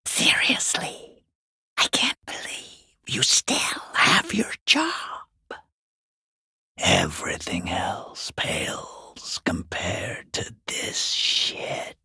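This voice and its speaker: A man voicing a foul-mouthed, sarcastic watcher in a video game, taunting in a rough, breathy, creaky half-whisper. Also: sits low, huge pitch swings, sharp tone, no echo, studio quality, full character voice. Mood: sarcastic disgust. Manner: sarcastic, taunting, drawn-out mockery. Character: sneering, foul-mouthed watcher peeking through a harbour gate gap. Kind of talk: video game character voice acting.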